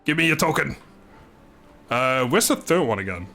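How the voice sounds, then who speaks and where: Lively, bright-voiced male game streamer. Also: mid-range voice, moderate pace, creaky. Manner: rambling, chatting with viewers. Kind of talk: casual live stream gameplay commentary.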